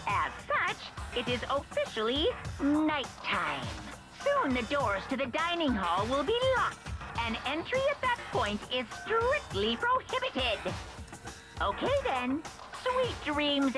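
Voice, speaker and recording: High, swooping cutesy mascot bear. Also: female voice, wildly swooping pitch, slow, exaggerated voice. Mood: syrupy sweet. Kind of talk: amateur character voicing of game dialogue. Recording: music behind the voice.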